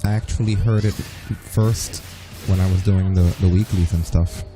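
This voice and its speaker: A man's voice: sits low, steady tone, normal speed, over music. A young man doing casual gameplay commentary on a stream, teasing and self-assured. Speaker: game streamer.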